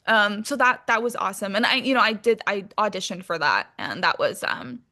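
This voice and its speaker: A woman's voice: mid-range voice, lively intonation, brisk, bright, forceful tone. A woman, an actress from Hong Kong, chatting casually and animatedly as an interview guest, with informal, sweary phrasing full of "like". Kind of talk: casual interview chat, telling career anecdotes.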